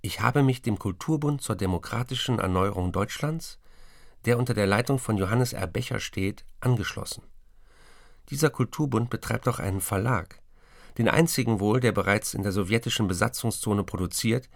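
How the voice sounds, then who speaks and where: A man's voice: on the low side, moderate pace, creaky, dry recording, studio quality. A man reading the letters and memoirs of a weary, despairing middle-aged writer in a subdued, resigned manner. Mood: businesslike. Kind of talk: broadcast documentary reading.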